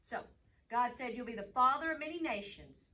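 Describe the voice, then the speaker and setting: Bright-voiced woman telling children's bedtime stories. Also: on the high side, lively intonation, brisk. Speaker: host, children's Bible storyteller. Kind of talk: reading bedtime Bible stories to children, chatting with a puppet. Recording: distant mic, faint background noise.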